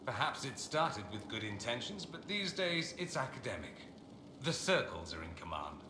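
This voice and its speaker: An actor as a wry, swooping aristocratic mage. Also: male voice, mid-range voice, very animated, normal speed, reverberant, noisy background, close to an everyday voice. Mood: sardonic, dismissive. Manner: wry, theatrical, condescending. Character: urbane, sardonic upper-class male mage from a fantasy empire. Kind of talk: video game dialogue acting.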